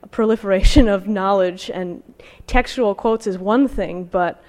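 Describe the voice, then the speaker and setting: A woman delivers an academic lecture in a large room with long reverb around her voice. She has a mid-pitched voice and a scholarly, slightly halting manner as she presents her research. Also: a little mellow. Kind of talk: academic talk with slides, reading out quotations.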